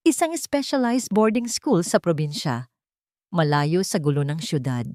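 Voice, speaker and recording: A woman's voice: fairly low pitch, expressive, a little slow, darkish tone, dry recording, studio quality. A woman voicing a scheming rich wife with a Filipino accent and sugary, feigned niceness in an audio drama. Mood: smugly sweet. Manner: feigned sugary concern, mixing English and Tagalog. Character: scheming rich wife, falsely sweet villainess.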